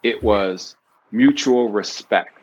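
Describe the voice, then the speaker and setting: Man, 50s, interview guest, animated storyteller, playful, casual talk. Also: slow, muffled recording. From New York City. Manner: animated storyteller, bursts of pitch when excited. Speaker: guest author.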